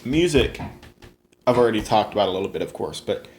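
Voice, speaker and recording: A man's voice: on the low side, expressive, brisk, a little mellow. A young man hosting a casual solo podcast, rambling with many fillers and restarts. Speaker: podcast host.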